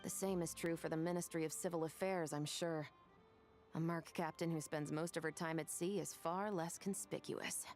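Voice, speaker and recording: A woman voicing a dutiful, courteous female officer in a video game, formal, measured and firm. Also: sits low, steady tone, a little mellow, faint music behind. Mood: serious, analytical.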